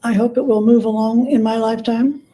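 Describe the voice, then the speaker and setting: Female expert guest, soft mellow tone set fairly high, measured conversational explainer who pauses between phrases. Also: steady tone. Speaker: interview guest, author and scholar.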